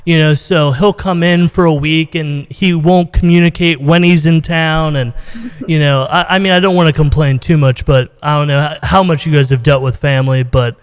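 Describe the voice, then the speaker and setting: American guy hosting a podcast, kinda high clear voice, rambly and casual, big echoey room. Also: steady tone, normal speed. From United States, Ohio. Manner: rambling, informal, peppers talk with "you know". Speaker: podcast host, interviewer. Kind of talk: casual video-call interview. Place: indoors, reverberant room on a video call. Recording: roomy, long reverb.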